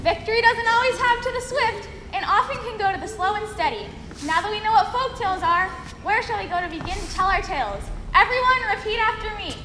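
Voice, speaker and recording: A woman narrates a children's folktale show in a very high, presentational voice. She is in an echoing hall with clear noise behind her. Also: expressive, fairly quick, bright, forceful tone. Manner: presentational, audience-addressing. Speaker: stage narrator. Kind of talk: scripted children's storytelling narration. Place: large hall, live stage show. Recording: echoey hall, background noise.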